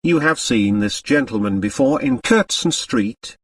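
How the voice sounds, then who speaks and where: A man's voice: mid-range voice, animated, measured pace, dry recording, studio quality, far from the mic. A man voicing a polite, formal French examining magistrate who questions carefully, in an audiobook. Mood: probing, courteous. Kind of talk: audiobook character dialogue.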